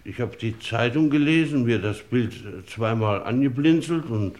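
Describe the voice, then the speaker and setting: Mann als schlichter, umgangssprachlicher Schlosser. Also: male voice, medium pitch, normal speed, a little mellow, close to an everyday voice. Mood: chatty. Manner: colloquial, rambling. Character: plain-spoken, cheeky working-class locksmith, a hesitant witness. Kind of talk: German radio crime play acting.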